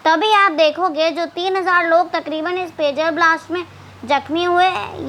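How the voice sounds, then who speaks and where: A woman's voice: high voice, sharp tone, slight background noise. A woman presenting scripted news commentary with conversational asides, in a sarcastic, mocking and dramatic storytelling manner. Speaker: presenter of an explainer video.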